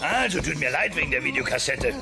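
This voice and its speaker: A man's voice: medium pitch, lively intonation, fast, creaky, background noise. A man playing a grumpy, put-upon dinosaur father, exasperated and melodramatic, in a German-dubbed sitcom audio play. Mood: reluctant, sarcastic. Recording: music behind the voice.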